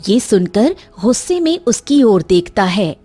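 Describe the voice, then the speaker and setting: A woman's voice: medium pitch, very animated, unhurried, dark tone, slightly rough, soft background music. A woman giving dramatic scripted narration of a cartoon fairy tale. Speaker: story narrator.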